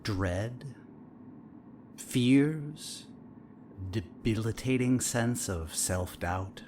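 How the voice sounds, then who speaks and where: An actor playing a calm, probing and coolly manipulative therapist in an audio drama, speaking in a measured, clinical, needling way. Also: male voice, mid-range voice, slight background noise.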